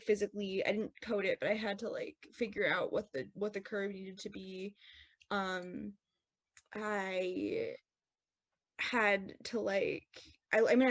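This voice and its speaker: A woman's voice: medium pitch, no room sound. Woman, mid-range voice, dry close sound, casual panel talk, rambling and self-correcting. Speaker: podcast voice actor and sound designer. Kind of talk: casual panel chat, post-show commentary.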